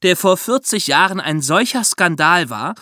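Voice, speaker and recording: A man's voice: high-pitched, lively intonation, normal speed, pushed and bright, no echo, clean studio sound. A man co-hosting a podcast in a semi-scripted, conversational and explanatory way. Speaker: podcast co-host.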